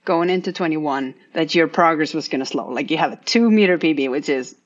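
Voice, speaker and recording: A woman's voice: deep voice, brisk. A woman hosting an interview, asking long, eager, rambling questions. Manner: enthusiastic, rambling questions with frequent laughs. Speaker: interviewer, podcast host. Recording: dull lo-fi audio.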